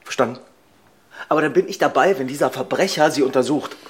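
An actress playing a forceful, protective woman in a radio play, urgent and insistent. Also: low voice, quick pace, breathy edge. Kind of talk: radio drama acting.

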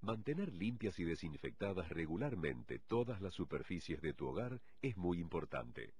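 A man's voice: deep voice, big pitch swings, normal speed, darkish tone. A man reading a scripted product advertisement. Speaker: ad announcer. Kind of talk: scripted ad read. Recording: voice over music.

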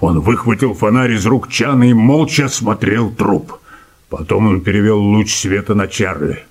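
Husky, lowish male narrator over music. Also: normal speed. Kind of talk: scripted story narration. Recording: radio play with music underneath.

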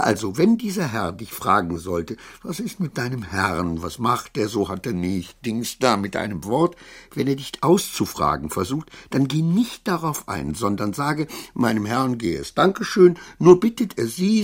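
An actor in a German radio play as a suspicious, agitated titular councillor, halting and repetitive, scolding and wheedling his servant. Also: medium pitch, sing-song, breathy edge. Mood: insistent instructing.

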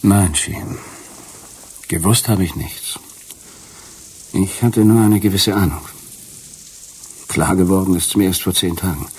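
Deep, very husky betrayed husband. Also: male voice, measured pace, long pauses, darkish tone, exaggerated voice. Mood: controlled, accusing. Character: bitter, wounded betrayed husband. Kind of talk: German radio drama acting.

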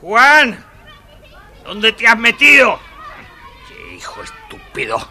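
A man's voice: extremely high pitch, wildly swooping pitch, slow, vocal fry, sharp tone, a faint crowd, heavily acted. An actor playing a harsh, scolding old artisan father in a children's audio story. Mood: angry scolding. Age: older. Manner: blustering, scornful, exclamatory. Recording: old children's record with audible background noise.

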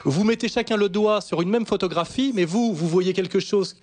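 Man, high voice, insistent, interrupting, heated panel debate. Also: moderate pace, a little mellow. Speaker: panel guest. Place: radio studio.

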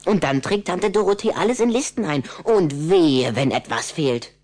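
Vampire boy: low register, lively swings, brusque, teasing, children's audio drama. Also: normal speed. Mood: grumbling. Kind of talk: children's radio play acting.

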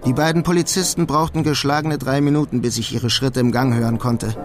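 An actor playing a wry thief who narrates his own heist in a German radio crime drama, measured and deadpan. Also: mid-range voice, soft background music, close to an everyday voice.

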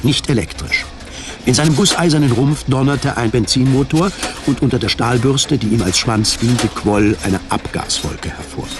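A man reading scripted literary narration as the narrator of a radio drama. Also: medium pitch. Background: music.

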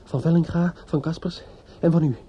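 An actor in a radio play playing a distraught, bitter young man in grief, speaking haltingly with stammers and repetitions. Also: on the high side, steady tone, mellow, a little hiss or hum, lightly acted. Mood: bitter, shaken. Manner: halting, stammering, repeats himself. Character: distraught, bitter young bridegroom-to-be, grieving and obsessive. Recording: dull lo-fi radio play recording.

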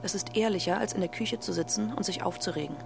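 A woman's voice: mid-range voice, fast talker, creaky, noisy background. A woman reading dated diary entries in a subdued, weary way. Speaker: reader of diary posts.

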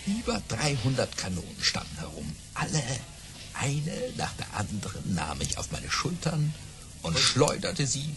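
An actor playing a boastful baron who tells tall tales in a grandiose, theatrical audio play style. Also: male voice, mid-range voice, wildly swooping pitch, a little slow, noisy background. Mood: boastful, suspenseful.

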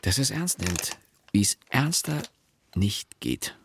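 An actor playing a wry, anxious husband who narrates a literary radio play in a husky, gravelly, reflective storytelling way. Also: male voice, on the low side, sing-song, unhurried, background noise, full character voice.

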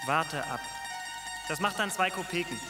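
An actor playing a curt, cryptic merchant who makes dark prophecies in a radio play, terse and sardonic. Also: male voice, fairly high pitch, normal speed, big-room sound, over loud music, lightly acted. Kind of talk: radio drama acting.

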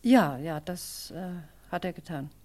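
An actress playing a proper, guarded former secretary under questioning in a German radio crime drama, formal and defensive. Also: female voice, fairly low pitch, expressive, unhurried, darkish tone. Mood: hesitant.

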